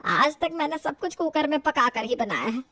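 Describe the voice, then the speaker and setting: A stubborn elderly mother-in-law played by a woman in an audio storybook. She is high-voiced and indignant, grumbling and scolding as she goes. The recording is dry and close. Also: sing-song, normal speed, fairly bright tone, no echo. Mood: pleasantly surprised. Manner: indignant, grumbling, talks to herself.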